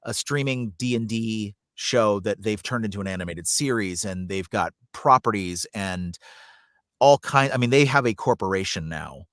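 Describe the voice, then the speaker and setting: A man's voice: fairly low pitch, normal speed, a bit bright, no room sound, studio quality. A middle-aged man speaking earnestly and conversationally as a guest in a podcast interview, a working voice actor and coach.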